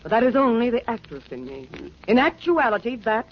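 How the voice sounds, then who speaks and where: A woman's voice: on the high side, very animated, brisk. A woman playing a brassy, flamboyant, hot-tempered American actress in 1940s radio drama, mixing street slang with stilted formality. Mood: dramatic, insistent. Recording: muffled, noisy 1940s radio recording.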